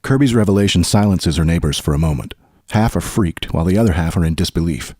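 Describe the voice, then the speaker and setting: A man narrating a scripted radio drama in measured storytelling fashion, with a gravelly voice. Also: sits low, expressive, a little mellow, no echo. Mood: measured suspense.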